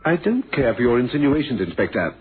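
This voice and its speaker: A man's voice: mid-range voice, very animated, fast talker, slightly rough, faint music behind. An actor playing a flustered, indignant businessman suspected of murder in a radio mystery, stammering and pompous in his defence. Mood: defensive, indignant. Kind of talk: 1970s radio mystery drama acting. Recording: muffled, noisy old radio recording.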